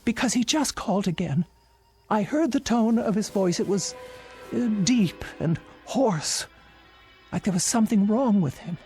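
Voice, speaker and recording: A woman's voice: mid-range voice, lively intonation, unhurried, dark tone, soft background music, lightly acted. An actress playing an anxious, insistent invalid woman in a suspense radio drama, speaking in an emphatic, theatrical and fretful way. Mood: uneasy, insistent.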